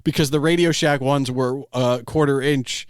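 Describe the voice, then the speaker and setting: A man chatting casually as a podcast host, telling anecdotes in an animated way and acting out imagined quotes. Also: mid-range voice, normal speed, clean tone, no room sound, studio quality.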